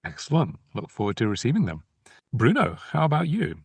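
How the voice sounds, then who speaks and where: A man co-hosts a podcast over a video call, thanking listeners and asking his guests measured questions. The sound is close and dry. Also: mid-range voice, wildly swooping pitch, vocal fry, husky, no echo. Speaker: podcast co-host. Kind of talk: casual podcast interviewing.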